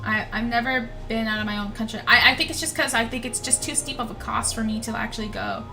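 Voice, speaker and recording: A woman's voice: on the high side, fairly even intonation, fast. A young woman casually chatting on a game livestream, rambling and gushing, often repeating herself. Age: young adult. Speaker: streamer, VTuber. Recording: music behind the voice.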